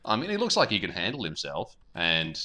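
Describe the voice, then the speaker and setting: A young man with a low voice that cuts through brightly and forcefully. He co-hosts a podcast in loose, bantering conversation. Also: wildly swooping pitch, a little fast. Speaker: podcast host. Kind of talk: casual bantering chat.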